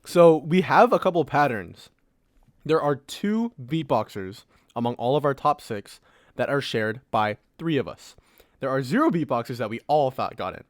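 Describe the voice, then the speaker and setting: A man's voice: medium pitch, expressive, normal speed, dry recording, studio quality. A man co-hosting a podcast, talking in a casual group discussion. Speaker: podcast co-host.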